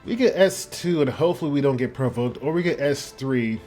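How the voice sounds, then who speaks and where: A man's voice: medium pitch, animated, fairly quick, music in the background. A man giving casual, rambling commentary on a game, reacting aloud as it goes. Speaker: gaming video host.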